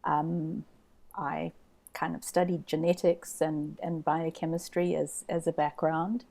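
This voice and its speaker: A woman who grew up in Zambia and Zimbabwe, a scientist answering interview questions in a relaxed way, often repeating words as she thinks. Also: low voice, unhurried, creaky. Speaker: guest scientist.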